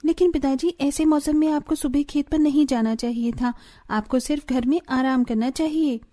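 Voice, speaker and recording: A woman's voice: high voice, fairly even intonation, normal speed, warm tone, natural voice. A woman voicing a caring, anxious young daughter-in-law in a family cartoon, earnest and concerned.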